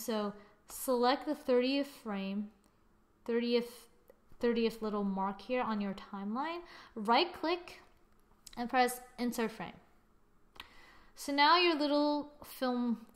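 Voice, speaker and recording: A woman narrating a software tutorial casually, thinking aloud as she goes in an informal way. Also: on the high side. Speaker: tutorial presenter.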